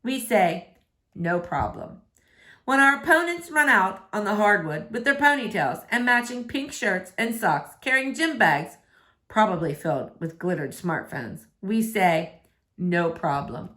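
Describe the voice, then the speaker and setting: A woman's voice: mid-range voice, lively intonation, measured pace, bright, forceful tone. Woman reading a verse novel aloud. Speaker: book reader.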